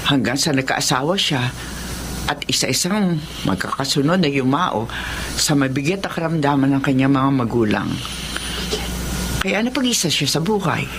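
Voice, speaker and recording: An actress with a Filipino accent playing a guarded, stern middle-aged housekeeper in a radio horror drama, secretive and admonishing. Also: female voice, extremely low pitch, lively intonation, moderate pace, long pauses, background noise. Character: Aling Leoncia, guarded, stern older female housekeeper.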